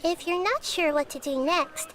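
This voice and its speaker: A woman's voice: very high-pitched, moderate pace, a crowd in the background. A woman voicing a small, bubbly fairy companion girl in a video game, eager and chirpy. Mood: cheerful. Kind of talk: game dialogue.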